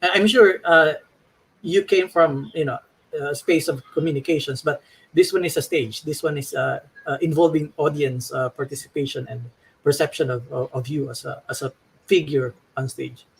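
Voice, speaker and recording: A man's voice: on the high side, fairly even intonation, moderate pace, gravelly, slight background noise, low-quality recording. A man hosting an interview, asking his questions hesitantly with many fillers and restarts. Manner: hesitant, full of fillers and repeats. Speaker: host.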